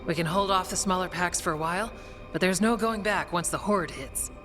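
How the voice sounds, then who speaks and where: An actress playing a plain-spoken, steady woman commander in a video game, with matter-of-fact phrasing. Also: fairly low pitch, brisk, over music, close to an everyday voice. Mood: commanding. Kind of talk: dramatic video game acting.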